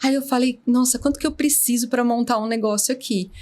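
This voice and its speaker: Guest, female, 40s, from São Paulo, slightly high voice, frank and lively. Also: fairly quick. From São Paulo, Brazil. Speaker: veterinarian and business owner, guest. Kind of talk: casual interview.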